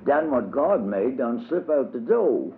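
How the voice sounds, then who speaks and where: A man's voice: mid-range voice, big pitch swings, measured pace, vocal fry, voice pushed up. A man voicing an earnest old male folk preacher who teaches children in a drawling, sermonizing dialect. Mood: patient questioning. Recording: tinny lo-fi old recording with faint hiss.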